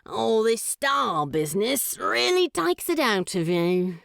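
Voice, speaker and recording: A woman's voice: mid-range voice, big pitch swings, slow, creaky, fairly bright tone, dry recording, clean studio sound, exaggerated voice. Woman doing a snarky grumpy demon guy, cocky and loose, swings all over the place. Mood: brash. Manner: brash, dismissive, casual swearing. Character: sardonic grumpy male demon, sly and irreverent.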